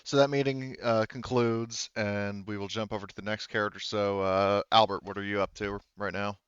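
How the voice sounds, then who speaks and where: A man with a low, smooth voice runs a tabletop game in a casual way. He often rephrases as he goes. The close recording is a little dull. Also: level tone, moderate pace, a bit bright, dry recording. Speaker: game master. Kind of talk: casual game-running talk.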